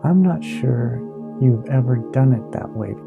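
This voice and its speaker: Man, meditation guide, creaky mid-pitched voice, soothing hypnotic delivery, short phrases with long pauses, new-age music underneath. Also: expressive, dull audio. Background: ambient new-age music with singing bowls.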